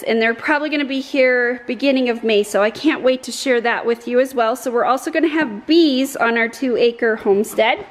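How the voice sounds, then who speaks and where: A middle-aged American woman from Colorado chatting casually and enthusiastically to camera as a homesteading vlogger. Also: sits high. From Colorado, United States. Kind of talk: casual vlog chat to camera. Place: outdoors in a yard, later in a parked or moving car. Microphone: camera at arm's length.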